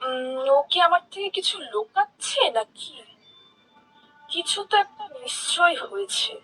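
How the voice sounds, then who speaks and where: A village housewife in a cartoon, voiced by an actress, watchful and prone to nag. She has a high, bright voice. Her delivery is questioning and domestic. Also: sing-song, measured pace, faint music behind, full character voice, low-quality recording. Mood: suspicious, puzzled. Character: suspicious village housewife, shopkeeper's wife. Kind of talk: cartoon character acting.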